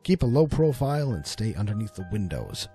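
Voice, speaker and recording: Man acting an urgent, animated, earnest detective. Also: lowish voice, fairly quick, faint music behind. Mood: resolute, instructing. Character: frightened but determined male detective, reassuring and resolute. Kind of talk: audio drama storytelling.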